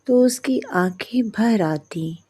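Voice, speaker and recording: A woman narrating a scripted moral story in short, measured phrases with pauses. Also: mid-range voice, expressive, dark tone, husky.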